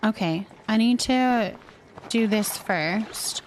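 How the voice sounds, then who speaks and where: A woman chatting casually while streaming a game, thinking out loud as she talks to her viewers. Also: medium pitch, slow pace, slight background noise, poor audio. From California, United States. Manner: chatty, thinking aloud to herself. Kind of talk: casual gameplay stream chat.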